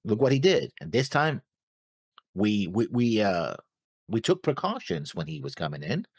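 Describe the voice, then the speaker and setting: Lower-voiced man halting through plot theories. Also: sing-song, breathy edge, no echo, clean studio sound. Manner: halting, repeats words, acts out imagined dialogue. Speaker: co-host of an anime reaction show. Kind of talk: casual discussion of plot theories.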